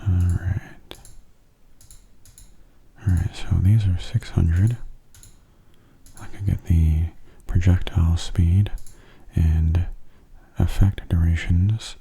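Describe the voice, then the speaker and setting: A man giving soft-spoken ASMR game commentary, breathy and often near a whisper, in a gentle, explanatory way. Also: low-pitched, steady tone, slow pace, long pauses.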